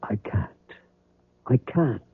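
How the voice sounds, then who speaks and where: An actor playing an earnest, anxious struggling novelist in an old-time radio drama, halting and nervous. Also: male voice, close to an everyday voice. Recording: muffled, tinny old radio recording.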